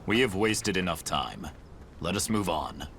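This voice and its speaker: An actor playing a stern, stoic warrior in a video game, speaking in terse, cold and formal phrases. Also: male voice, deep voice, expressive, unhurried, noisy background. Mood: curt, guarded. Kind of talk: video game cutscene acting. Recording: loud music underneath.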